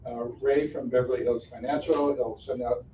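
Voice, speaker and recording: A man hosts an informal meeting, friendly and rambling, often repeating himself. His tone is dark and mellow. He sits away from the mic, and the room echo is heavy on a dull recording. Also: medium pitch, steady tone, normal speed, slight background noise. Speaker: meeting host. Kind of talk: casual meeting introductions. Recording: distant, echoey, lo-fi.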